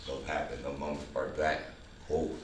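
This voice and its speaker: Deep guy talking casually w a group, repeats himself for emphasis, noisy echoey room. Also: little pitch movement, moderate pace. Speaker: filmmaker leading a table read discussion. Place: indoor room at a table read. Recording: echoey room, distant mic, noisy background.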